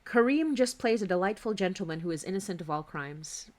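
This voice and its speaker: A woman's voice: mid-range voice, lively intonation, fairly quick, a little mellow. A woman hosting an informal livestream chat, agreeable and conversational as she reads out comments. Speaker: podcast host.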